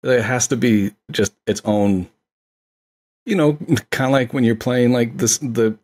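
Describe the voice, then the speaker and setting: A man's voice: medium pitch, measured pace, a little mellow. A man in casual film discussion as a podcast guest, talking in an enthusiastic, conversational way.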